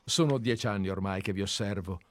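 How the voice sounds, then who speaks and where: A man's voice: deep voice, brisk, no echo. A man reading aloud as an obsessive, deferential admirer who has tracked a writer for years, in a dramatized radio play reading. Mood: obsequious. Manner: earnest, deferential, methodical. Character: obsessive, fawning male admirer-sleuth. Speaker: reader.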